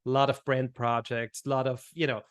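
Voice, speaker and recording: A middle-aged man from Austria with an Austrian accent, chatting as a podcast guest, animated and laughing often, restarting his sentences. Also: medium pitch, fairly quick, smooth. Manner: animated, laughs often, repeats words when starting sentences. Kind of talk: casual interview chat.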